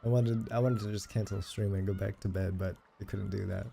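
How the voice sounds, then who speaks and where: Gravelly young man, casual streamer chat. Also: lowish voice, steady tone, a little fast, mellow, a little hiss or hum. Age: late 20s. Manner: rambling, profane, self-deprecating. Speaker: game livestreamer.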